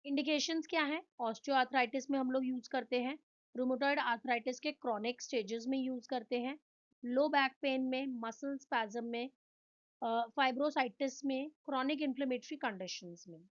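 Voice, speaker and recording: High-pitched Indian woman teaching. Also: moderate pace, lo-fi sound. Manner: rhetorical questions answered in listed points. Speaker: physiotherapy instructor. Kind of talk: explanatory lecture mixing Hindi and English.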